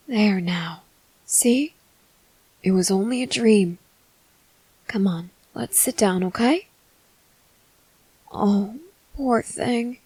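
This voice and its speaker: A woman playing a hushed, gentle young father. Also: mid-range voice, slightly rough, no room sound, poor audio. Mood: tender, hushed. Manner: soft and hushed, turning frantic and pleading. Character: anxious young father soothing his crying baby at night. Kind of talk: whispered audio roleplay.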